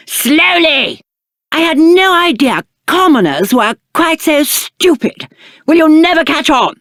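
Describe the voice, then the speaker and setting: A man's voice: extremely high pitch, fairly bright tone, no room sound, clean studio sound, heavily acted. A male actor playing a spoiled, cowardly young prince in a video game, with a British accent and haughty, whiny, aristocratic diction. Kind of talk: theatrical video game character acting.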